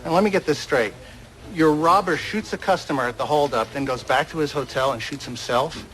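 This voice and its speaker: An actor plays a male ballistics doctor in an audio crime drama. His somewhat high voice is methodical, dry and skeptical, with a sarcastic edge. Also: brisk, a bit bright, lightly acted, low-quality recording. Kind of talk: radio crime drama acting.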